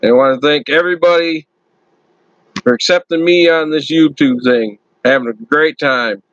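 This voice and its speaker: Loud, chatty man live streaming. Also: fairly high pitch, normal speed, no echo, lo-fi sound. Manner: loud friendly talker. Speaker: YouTube channel host. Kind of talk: casual live stream chat. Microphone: computer.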